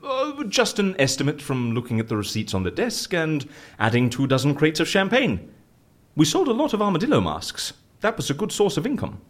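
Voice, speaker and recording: A mischievous boss forever dodging blame in an audio comedy. He stammers and wheedles, excitable and flustered, his pitch leaping all over the place. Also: fairly quick. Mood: breezy, reassuring. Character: flustered, evasive, mischievous male boss hiding his blunders. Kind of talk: audio drama comedy acting.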